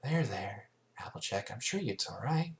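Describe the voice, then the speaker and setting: A man voicing a gentle, consoling young female pony in an amateur cartoon fan fiction reading. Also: on the low side, expressive, normal speed, creaky, slight background noise, pitched above the performer's own voice. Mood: soothing.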